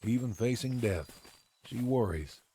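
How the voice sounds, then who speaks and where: An actor playing a solemn, compassionate storyteller, narrating a game drama in a measured way. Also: male voice, mid-range voice, expressive, dark tone, slight background noise, close to an everyday voice. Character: solemn, compassionate storyteller watching over a dying mother.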